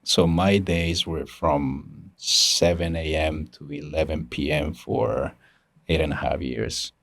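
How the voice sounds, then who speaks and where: A man's voice: a bass voice, fairly even intonation, takes it slowly, creaky, a bit bright, no echo. A man in his fifties from Ecuador, speaking English, telling his story earnestly as an interview guest with restarts and chuckles. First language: Spanish. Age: 50s. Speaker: guest, engineering executive. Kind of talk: casual interview talk.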